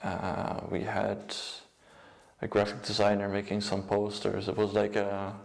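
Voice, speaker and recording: A young man with a Dutch accent, a music producer and DJ, giving a casual, low-key account of his work. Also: monotone, a little slow, vocal fry. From Netherlands.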